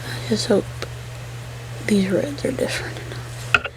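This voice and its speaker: A woman whispering soft, rambling chat as she colors for an ASMR video, sniffling now and then from allergies. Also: medium pitch, unhurried, poor audio. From United States. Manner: soft-spoken, rambling, chatty aside. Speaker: ASMR creator.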